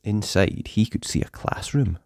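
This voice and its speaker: A man narrating a children's storybook aloud in a playful way, with dramatic pauses and emphasis. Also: on the low side, animated, vocal fry, darkish tone, dry recording, clean studio sound.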